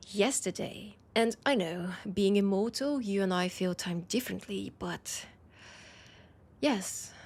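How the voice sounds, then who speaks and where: A woman with a French accent playing a teasing, nostalgic, centuries-old vampire in an intimate audio roleplay, her breathy voice often dropping to a whisper. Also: mid-range voice, heavily acted. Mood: wistful hunger. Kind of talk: intimate audio roleplay, one-sided dialogue.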